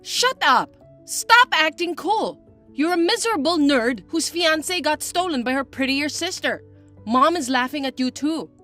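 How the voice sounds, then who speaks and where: A woman voicing a bratty, spiteful young stepsister who talks in a shrill, mocking, bossy way. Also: expressive, measured pace, pushed and bright, soft background music, full character voice. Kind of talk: voiced text-message drama.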